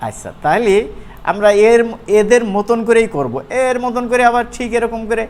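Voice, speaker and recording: A man gives a casual, chatty software tutorial in an informal, colloquial way, often checking in with his listeners. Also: extremely high pitch, lively intonation, normal speed. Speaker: animation course instructor. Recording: clear background noise.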